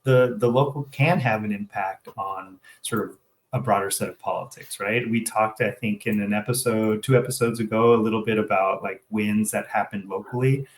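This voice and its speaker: Man, lowish voice, casual show co-host, reflective, conversational check-ins, roomy echoey sound. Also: steady tone, moderate pace. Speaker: co-host of a local civic affairs show. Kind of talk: casual discussion.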